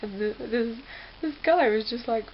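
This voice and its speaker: A woman's voice: fairly high pitch, measured pace. A young woman from England chatting casually as a vlogger, rambling with lots of fillers. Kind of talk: casual chat. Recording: dull lo-fi webcam audio with faint hiss.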